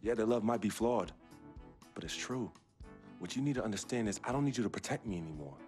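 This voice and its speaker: A man voicing a young basketball star in a game cutscene, loyal and earnest, speaking in a slightly low, measured voice. He talks about the people close to him with quiet conviction over faint music. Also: animated, close to an everyday voice. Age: young adult. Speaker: game protagonist. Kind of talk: video game cutscene acting.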